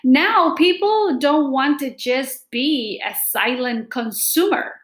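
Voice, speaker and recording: A middle-aged woman reading aloud to students as a teacher, explaining deliberately and addressing them directly in a room at home. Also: high voice, echoey room. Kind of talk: read-aloud lesson with simple explanations. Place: small room at home, indoors. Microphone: laptop or webcam microphone at desk distance.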